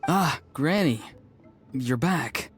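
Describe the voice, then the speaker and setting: A man's voice: on the high side, lively intonation, drawn-out words, faint music behind. A man voicing a frank, easygoing young villager in a video game, casual and matter-of-fact. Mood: earnest. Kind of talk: video game character dialogue.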